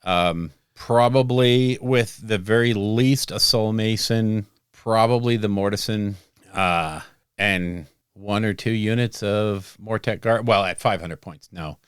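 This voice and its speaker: A man with a somewhat low voice co-hosts a casual wargaming podcast. He is easygoing and self-deprecating and thinks out loud. The recording is close and dry. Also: slow, clean tone, no echo. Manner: self-deprecating, bursts into loud laughs, hesitant with points. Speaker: podcast co-host. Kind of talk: casual chat about tabletop wargaming.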